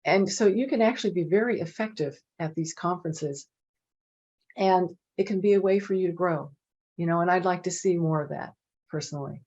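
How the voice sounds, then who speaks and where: A middle-aged woman on a video call speaks in a fairly low voice and tells stories in a chatty, animated way, with plenty of fillers. The sound is muffled and lo-fi. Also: a little fast. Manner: chatty, animated, many "you know" fillers. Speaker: panel presenter. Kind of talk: casual anecdotal storytelling. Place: home office with bookshelves. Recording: dull lo-fi video call audio.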